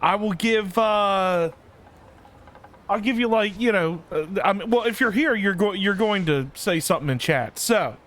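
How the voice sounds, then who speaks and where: A man streams a game and talks casually to his chat. His voice is high for a man and swings up and down a lot. He goes slowly and haltingly, repeating himself, with game music behind him. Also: expressive, fairly bright tone. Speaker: game livestreamer.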